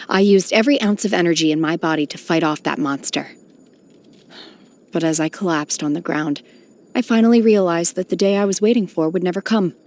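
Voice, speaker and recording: A solemn young warrior woman raised apart from the world, played by an actress for a fantasy game. Her voice sits on the low side for a woman. She speaks formally and with restraint, aloof and detached, with a quiet melancholy underneath. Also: brisk, close to an everyday voice. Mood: somber, wistful. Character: stoic, solemn young adepti-trained exorcist woman, aloof yet melancholy. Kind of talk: scripted video game character voice lines.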